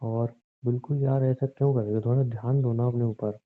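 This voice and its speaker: A man's voice: medium pitch, steady tone, brisk. Exasperated young man on a tinny phone line. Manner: exasperated, sarcastic, scolding. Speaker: boyfriend on a phone call. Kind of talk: casual couple's phone chat, playful bickering. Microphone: phone call.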